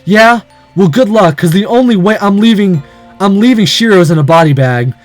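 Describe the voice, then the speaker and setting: A man's voice: sits high, animated, moderate pace, faint music behind, voice pushed up. A man voicing a defiant, cocky teenage boy hero in a visual novel read-aloud.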